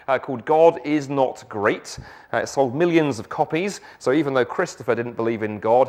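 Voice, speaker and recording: A middle-aged man with a South London accent gives an evening church talk, lively, with joking asides and rhetorical questions. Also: mid-range voice. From England. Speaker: guest speaker.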